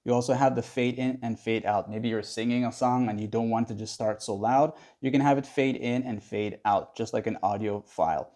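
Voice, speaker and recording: A man's voice: mid-range voice, moderate pace, few pauses, a little mellow. A man narrating a casual how-to tutorial in a conversational, instructional way. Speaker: tutorial host.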